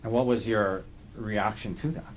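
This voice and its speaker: A man's voice: lowish voice, low-quality recording. Male attorney questioning amid background noise. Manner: halting, leading questions with short confirming tags. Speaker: defense attorney questioning a witness. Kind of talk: courtroom questioning. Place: courtroom.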